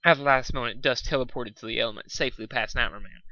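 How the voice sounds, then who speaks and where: A man narrates a story in an amateur, unpolished way, sometimes tripping on a word. His voice is bright and forceful. The recording is close and dry, a touch dull. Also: mid-range voice, fast, no room sound. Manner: stumbles and repeats words. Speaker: fan fiction reader.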